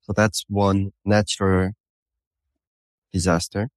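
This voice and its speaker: A man in his thirties from North Korea with a Korean accent, answering interview questions in a halting, thoughtful, explanatory way. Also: deep voice, level tone, smooth, dry recording, studio quality. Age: 30s. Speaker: podcast guest recounting his life.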